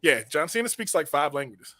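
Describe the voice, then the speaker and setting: A man hosting a comedy podcast, rambling through loud casual banter with restarts. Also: fairly high pitch, big pitch swings, fairly quick, dry recording. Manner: rambling, restarts, bursts into shouting voices. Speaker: podcast host.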